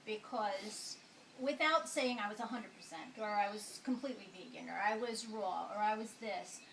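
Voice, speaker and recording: A woman in her fifties answering interview questions at home in a calm, measured way. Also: mid-range voice, creaky. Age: 50s. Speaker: interview guest. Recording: roomy, distant, noisy recording.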